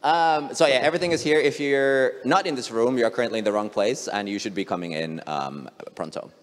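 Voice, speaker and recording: A man's voice: mid-range voice, a little fast. Joking Finnish man hosting on stage. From Finland. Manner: joking, chatty, many fillers. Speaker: conference host. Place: large conference hall stage. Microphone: handheld microphone. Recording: long hall reverb.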